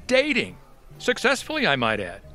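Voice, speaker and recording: A man's voice: on the high side, huge pitch swings, moderate pace, sharp tone, soft background music, heavily acted. A man playing a flirtatious, self-satisfied widower in an audio play. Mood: smug flirting. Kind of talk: audio theatre acting.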